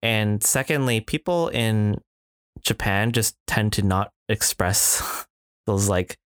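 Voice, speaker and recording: A man's voice: fairly low pitch, takes it slowly, fairly bright tone, clear, resonant tone, no room sound, studio quality. A man in casual podcast chat, reflective, with many fillers and restarts. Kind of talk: casual conversation.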